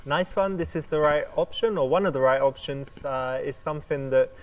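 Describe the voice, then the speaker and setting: A man's voice: on the high side, moderate pace, long reverb tail. A man giving confident dating advice in a game's coaching segment. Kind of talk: scripted coaching commentary.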